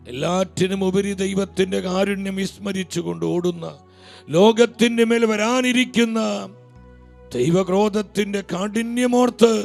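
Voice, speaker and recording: A male preacher delivers an impassioned sermon in a high, gravelly voice. He swings between loud declaiming and hushed pleading, and faint music plays underneath.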